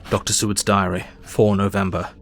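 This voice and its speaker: An actor playing a sober Victorian doctor, reading his diary in a measured, formal way for an audio drama. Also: low-pitched, flat delivery.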